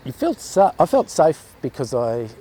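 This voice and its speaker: A man's voice: fairly high pitch, sing-song, unhurried, dark tone, slight background noise. A man with an Australian accent, speaking reflectively in a documentary interview.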